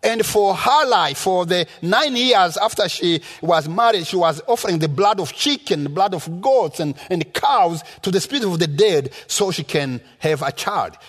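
High-pitched Rwandan man preaching in a hall. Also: expressive, normal speed, few pauses, a bit bright. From rural Rwanda. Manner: emphatic, teaching with repetition and rhetorical questions. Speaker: guest preacher. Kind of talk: sermon with personal testimony. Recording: reverberant hall sound.

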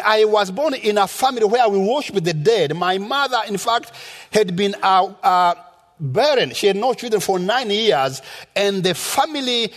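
A man from rural Rwanda with a Rwandan accent, preaching a sermon in an emphatic, teaching manner that leans on repetition. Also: high-pitched. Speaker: guest preacher. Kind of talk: sermon with personal testimony. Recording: reverberant hall sound.

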